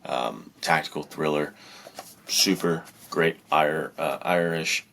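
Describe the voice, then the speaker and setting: A man's voice: very deep voice, steady tone, takes it slowly, gravelly, a bit bright, a little hiss or hum. A man co-hosting a podcast in a casual, slangy, hyped way, heaping emphatic praise on books. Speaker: podcast co-host and writer. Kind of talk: casual book chat.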